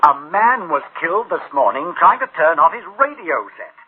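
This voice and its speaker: An actor playing an anxious senior official in a radio play, speaking gravely and haltingly with theatrical delivery on a tinny recording. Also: male voice, fairly high pitch, sing-song, loud music behind the voice. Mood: alarmed. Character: anxious senior official alarmed by runaway machines. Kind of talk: dramatic radio play acting.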